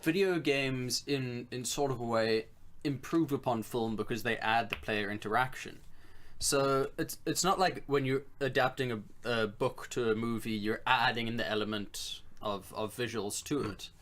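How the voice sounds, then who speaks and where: A man co-hosting a casual film discussion, reasoning in a halting way and repeating words. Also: mid-range voice, fairly bright tone. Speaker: podcast co-host.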